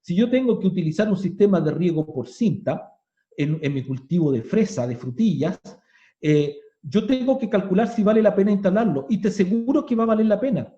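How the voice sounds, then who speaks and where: A man's voice: high voice, lively intonation, brisk, dark tone. A Chilean man explaining farming practices in plain, conversational terms during a webinar. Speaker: agronomy speaker. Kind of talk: webinar talk. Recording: roomy, muffled online call.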